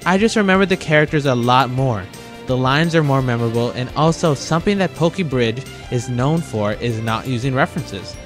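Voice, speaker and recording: A man's voice: medium pitch, a bit bright. A man hosting a countdown review video in a casual, scripted narration with a wry, jokey manner. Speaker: host of a top-list video.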